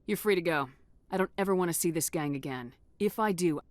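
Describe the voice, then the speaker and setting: A woman's voice: on the low side, brisk, no room sound, lightly acted. An actress playing a firm, principled female military commander in a game, direct and controlled. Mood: stern.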